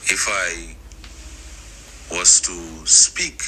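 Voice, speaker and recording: A man with a low, sharply forceful voice delivers a religious lesson in English as a native Hebrew speaker. He moves very slowly and deliberately, pausing between short phrases. A faint layer of background noise sits behind him. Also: fairly even intonation. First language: Hebrew, as the speaker states. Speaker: religious teacher. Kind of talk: slow scripture teaching addressed to listeners.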